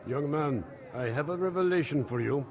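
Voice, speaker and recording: A man's voice: a little high, normal speed, natural voice. A man playing a stern imam. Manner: stern, pronouncing judgment. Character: stern male imam at a holy site. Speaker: imam character. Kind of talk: radio drama acting.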